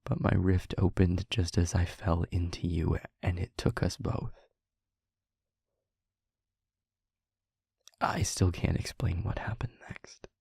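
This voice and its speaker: A man playing a weary demon in an intimate audio roleplay, speaking earnestly and haltingly in a breathy half-whisper. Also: low voice, level tone, a little mellow, no echo, clean studio sound, full character voice. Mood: grave, confiding. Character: weary male demon confiding a painful truth. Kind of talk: scripted audio roleplay, intimate monologue to listener. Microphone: close microphone, dry.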